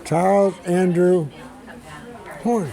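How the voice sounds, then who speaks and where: A man's voice: sits high, fairly even intonation, drawn-out words, gravelly, crowd noise. An older man, a grandfather, answering questions with dry jokes. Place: outdoor family reunion.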